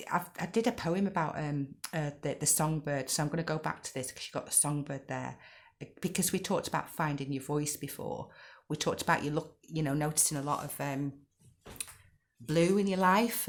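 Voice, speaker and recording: A middle-aged woman with a slightly croaky voice gives a spoken tarot reading, talking gently, repeating herself and asking rhetorical questions. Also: deep voice. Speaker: tarot card reader.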